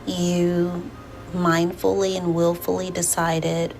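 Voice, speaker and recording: A woman speaking as a therapist in a session, with deliberate, formal phrasing. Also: lowish voice, monotone, a little mellow, soft background music, rough recording. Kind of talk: measured counseling session talk. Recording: clear background room noise.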